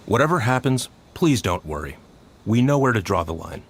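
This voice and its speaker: An actor playing a formal, dutiful, polite soldier with veiled menace in an anime video game. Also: male voice, on the low side, big pitch swings, moderate pace, a little hiss or hum. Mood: polite, firm.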